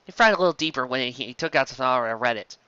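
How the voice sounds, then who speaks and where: A woman hosting and narrating a fan story, in an animated style. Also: extremely low pitch, very fast, clean tone, no echo, dull audio. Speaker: host and story reader.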